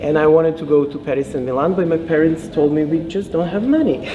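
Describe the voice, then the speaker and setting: A man in his late thirties from Serbia, speaking English, telling his story in a relaxed, thoughtful interview manner. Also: on the high side, fast, phone-quality sound, background noise. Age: 30s. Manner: thoughtful, lightly laughing, hesitant fillers. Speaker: fashion designer guest.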